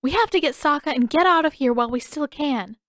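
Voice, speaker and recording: A woman's voice: sits high, expressive, fairly quick, dry recording, studio quality, pitched above the performer's own voice. A woman voicing an urgent, commanding teenage girl on the run in a fantasy audiobook.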